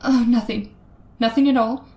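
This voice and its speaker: A woman's voice: on the high side, fairly even intonation, moderate pace, darkish tone, natural voice. A woman playing a frightened woman motorist in an old-time radio horror drama, with tense, suspenseful, dramatic delivery. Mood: nervous, hesitant. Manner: dramatic, breathy gasps and hesitant stammers when afraid. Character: frightened young woman motorist, tense, narrating her terror. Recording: noisy recording with ambient effects.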